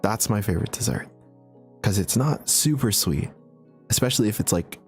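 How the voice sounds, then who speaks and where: A young man chats through a livestream Q&A in an easy, rambling way, laughing often. His voice sits a little low, with a gravelly, husky texture. Soft music plays faintly behind him. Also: lively intonation, moderate pace. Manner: laid-back, chatty, laughs often, many fillers. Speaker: streamer. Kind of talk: casual livestream Q&A chat.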